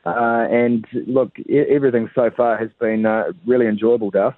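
A man's voice: medium pitch, level tone, moderate pace, no room sound. Kiwi guy calling in on a phone line, chatty, lots of uhs, sounds tinny. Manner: conversational, frequent "uh" fillers. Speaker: reporter on the phone. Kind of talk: live phone cross to a radio show. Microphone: telephone.

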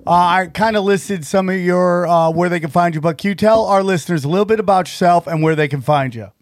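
A man hosting an interview podcast, relaxed and jokey with his guest. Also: sits high, fast. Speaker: podcast host. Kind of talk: casual interview chat.

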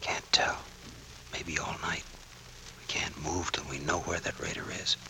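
An actor playing a reserved, duty-bound sailor in a 1940s radio drama, terse and restrained. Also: male voice, extremely low pitch, level tone, a little slow, vocal fry, in a whisper, full character voice, low-quality recording. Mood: hushed, tense. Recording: 1940s radio broadcast recording.

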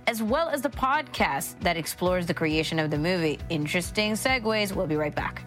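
A woman's voice: lowish voice, animated, moderate pace, a bit bright, faint music behind. A woman with a Canadian accent chatting casually as a radio show co-host. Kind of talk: casual conversational chat.

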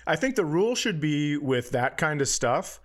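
Higher-voiced American man, riffing and swearing. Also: moderate pace. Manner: profane, animated riffing. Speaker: comedy podcast co-host. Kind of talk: casual comedic storytelling.

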